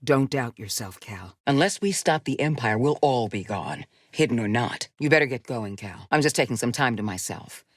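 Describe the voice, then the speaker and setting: Wise female resistance leader, video game drama, measured, commanding, widely varied intonation, dry close sound. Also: a bass voice, lively intonation, breathy edge, no echo, full character voice. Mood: calm, grave.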